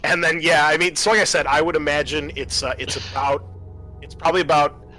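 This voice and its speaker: A man narrating a tabletop roleplaying game as game master, describing scenes in a deliberate, halting way. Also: fairly high pitch, fairly even intonation, sharp tone, faint music behind.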